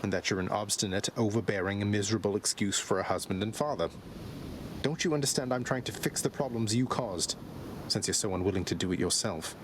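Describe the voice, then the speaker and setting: An actor voicing a defiant, earnest young prince of the underworld in a video game, brash and full of questions. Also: male voice, fairly low pitch, brisk, noisy background, natural voice. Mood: angry defiance. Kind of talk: video game character acting. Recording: loud music over the voice.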